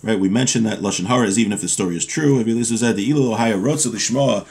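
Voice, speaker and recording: A man's voice: lowish voice, very fast, a little mellow. A man in his thirties giving an informal lesson on religious law, reading from a text and explaining it step by step. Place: small home room with bookshelves, indoors.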